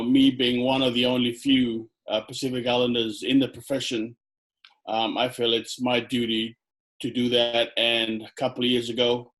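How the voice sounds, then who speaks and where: A middle-aged man from Fiji who grew up in New Zealand, answering interview questions slowly with many ums, over a video call headset. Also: medium pitch, fairly bright tone, low-quality recording. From Fiji, raised in New Zealand. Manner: hesitant, many ums and uhs. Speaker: chiropractor guest. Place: indoor room on video call.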